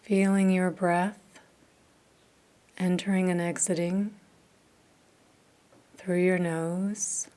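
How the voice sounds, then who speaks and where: Woman doing a guided meditation, super slow and even, mellow low-key voice, long pauses. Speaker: meditation teacher.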